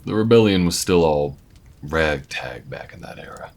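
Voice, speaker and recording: A man playing an easygoing, weathered alien bounty hunter in an intimate audio roleplay, talking in a folksy, unhurried way with murmured asides. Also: very deep voice, lively intonation, a little mellow. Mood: wistful, reflective.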